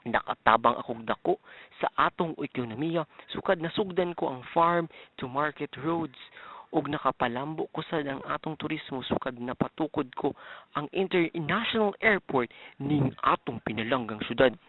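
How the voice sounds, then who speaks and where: A man's voice: on the high side, moderate pace, dry recording, narrow, tinny audio. A man playing a self-promoting city mayor giving a formal, declamatory campaign speech in an amateur radio drama. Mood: earnest, vote-seeking.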